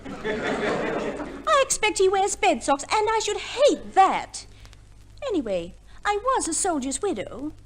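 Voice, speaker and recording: A flighty young society wife, pitched high and very animated. She is vain and genteel, gushing in an affected way, in the manner of old radio comedy. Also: takes it slowly, pauses often, slight background noise, full character voice. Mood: airy, smug. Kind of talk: 1950s radio comedy acting.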